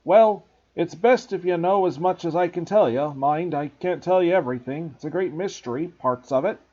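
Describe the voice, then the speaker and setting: A man voicing a huge, rough-spoken, kindly giant with a loud, gruff, folksy way of talking. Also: on the high side, normal speed, voice pushed up, natural voice. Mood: worried. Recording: dull lo-fi recording.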